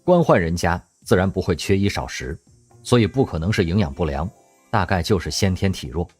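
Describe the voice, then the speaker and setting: Husky, lively male storyteller. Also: low-pitched, brisk, soft background music. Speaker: narrator. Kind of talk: scripted audio drama narration.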